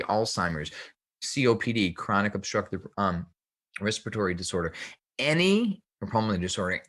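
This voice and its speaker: A man's voice: fairly low pitch, fairly bright tone, husky. A man speaking as an expert guest in a podcast interview, explaining things with emphasis and repeated phrases. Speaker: guest health researcher and author.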